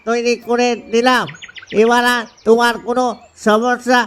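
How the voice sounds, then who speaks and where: A man's voice: extremely high pitch, completely flat delivery, moderate pace, fairly bright tone, slight background noise. A man voicing an easygoing, doting father duck husband in a cartoon. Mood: eager. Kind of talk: cartoon dialogue.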